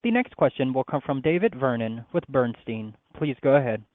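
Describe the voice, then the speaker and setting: A man's voice: medium pitch, expressive, normal speed, no echo. A man working as conference call operator, making short scripted announcements. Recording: narrow, dry phone line.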